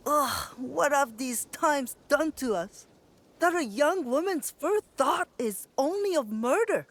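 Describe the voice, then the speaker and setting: A woman's voice: sits high, animated, slow, exaggerated voice. A woman voicing a pious, reproachful temple nun in a video game, grave and moralizing. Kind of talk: video game cutscene acting. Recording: light ambient noise behind.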